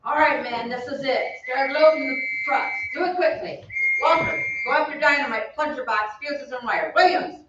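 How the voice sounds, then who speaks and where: A woman playing a brisk, commanding army sergeant who gives clipped orders in an old-time radio play. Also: high-pitched, muffled recording, lightly acted. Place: theatre stage, heard from off. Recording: echoey distant stage, clear noise.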